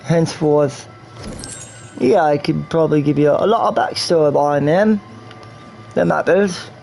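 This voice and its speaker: Guy from new york streaming a game, gravelly and mellow, rambles and loops back, fakes english accent, bit of noise behind. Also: fairly high pitch, steady tone, a little slow, faint music behind. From New York, USA. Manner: rambling, repetitive, slips into a put-on English accent. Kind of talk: casual livestream game commentary.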